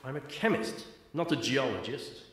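Very echoey, man acting as stern male scientist, curt, impatient, animated pitch, radio drama. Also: moderate pace, lightly acted. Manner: brusque, curt. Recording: echoing, cave-like reverberant sound.

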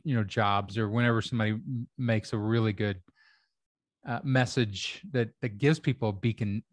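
Man, middle-aged, from Kentucky, podcast host, wry, lowish voice, dry close sound. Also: fairly even intonation, moderate pace, no room sound. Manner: wry, musing, joking asides. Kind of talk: casual podcast chat. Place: home room. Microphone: desk microphone on arm.

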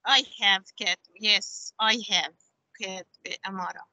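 A middle-aged woman from Palestine hosting a webinar, reading out chat answers slowly with many fillers, over a video call. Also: mid-range voice, fairly even intonation, sharp tone, no echo. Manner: halting, many fillers, slow careful reading. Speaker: webinar host. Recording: dull lo-fi video call audio.

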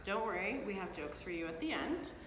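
A middle-aged woman reading a picture book aloud to children with expressive, animated storytelling, recorded in a living room by a camera a few feet away. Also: mid-range voice, brisk. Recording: echoey hard-walled room, some background noise.

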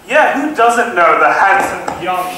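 A man's voice: high-pitched, very animated, a little slow, far from the mic, very noisy. Young man in a very echoey hall. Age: young adult. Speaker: student actor in a stage skit. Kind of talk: amateur stage play dialogue. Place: large stage hall. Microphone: far stage microphones.

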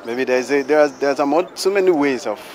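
A man's voice: medium pitch, fairly quick, a little mellow, a little hiss or hum. A young man answering a street interview hesitantly with many restarts into a handheld mic. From Nigeria. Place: city street outdoors. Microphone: handheld mic held by interviewer. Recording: crowd chatter behind.

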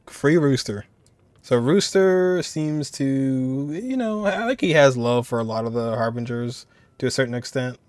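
A man giving casual, halting commentary as a streamer, often trailing off as he muses. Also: mid-range voice, darkish tone, clean tone, no room sound. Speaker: streamer reacting to game voice lines.

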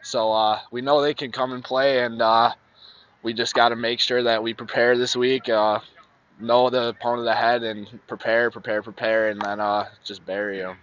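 A young man, a high school lacrosse player, giving an easygoing, grateful post-game interview outdoors on a field at night, holding a handheld mic. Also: level tone, moderate pace, fairly bright tone, clean tone, lo-fi sound. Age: young adult. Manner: easygoing, grateful, laughs often.

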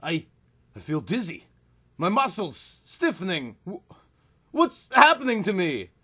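A man's voice: high voice, huge pitch swings, unhurried, sharp tone, breathy edge, exaggerated voice. Man acting out a melodramatic ghoulish walking corpse. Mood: panicked, pleading. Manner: theatrical, melodramatic. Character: gleeful ghoulish male corpse turned pleading victim. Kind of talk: dramatic horror comic reading.

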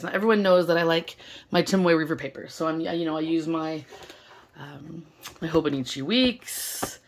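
Young woman, hoarse and low, gravelly edge; chatty vlogger, lots of fillers, excited. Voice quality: gravelly, strained. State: hoarse, losing her voice. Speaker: stationery vlogger. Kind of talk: casual unboxing vlog. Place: indoors at a table, filming overhead. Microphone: camera held close over the table.

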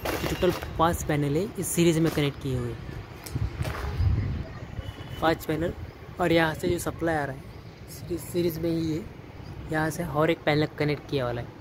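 A man talks through an installation as he walks around, pausing, restarting and repeating himself. It is recorded on a handheld phone on a rooftop and indoors, with clear traffic noise behind him. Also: fairly high pitch, steady tone. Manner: halting, repeats words and restarts while pointing things out. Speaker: electrician showing an installation. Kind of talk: casual walkthrough explanation. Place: rooftop outdoors, then indoor stairwell and wall. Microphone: handheld phone camera, filming himself off-frame.

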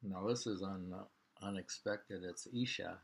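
An older man in his sixties reading poems aloud at home with informal, halting commentary, repeating lines and names. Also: low-pitched, fairly even intonation, creaky, husky. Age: 60s. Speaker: poetry reader. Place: small living room at home.

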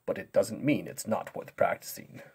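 Male performer as patient children's maths teacher; gentle, quiet, unhurried delivery with a lowish, breathy voice. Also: heavily acted. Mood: patient, reassuring. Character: patient, soft-spoken male teacher, gently amused, polite. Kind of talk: kids' multiplication lesson skit.

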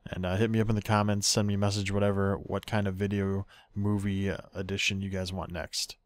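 A man's voice: sits low, flat delivery, a little fast, heavy background noise. A man hosting a video channel, giving a casual, offhand introduction in an informal way.

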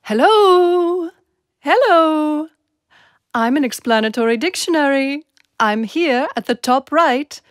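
An eager, wordy talking dictionary from an audio play. She swoops up and down in pitch but takes her time over each word, friendly and proud, in a dry, close recording. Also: lively intonation, takes it slowly, no room sound. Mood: cheerful, eager. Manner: friendly, wordy, explaining words.

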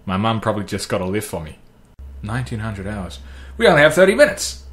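A man's voice: fairly low pitch, very animated, fast, very noisy. A man doing amateur voice acting, delivering script lines with exasperated, sweary asides. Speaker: amateur fandub voice actor.

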